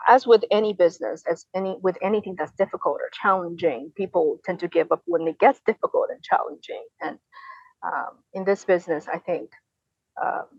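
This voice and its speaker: A woman's voice: medium pitch, no room sound, dull audio. A woman in her twenties answering conversationally as an interview guest, often repeating and rephrasing her phrases. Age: 20s. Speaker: podcast guest, real estate investor.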